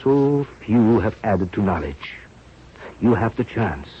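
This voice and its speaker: An actor playing an aging, earnest scientist mentor with a halting, solemn delivery in a radio drama. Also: male voice, on the low side, big pitch swings. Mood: solemn, fatherly. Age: older. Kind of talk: 1930s radio drama acting. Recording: muffled, noisy old radio recording.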